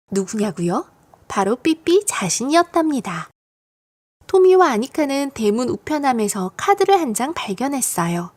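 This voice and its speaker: A woman reading a children's storybook aloud as a narrator, expressive and geared to young listeners. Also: sits high. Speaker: audiobook narrator of children's stories.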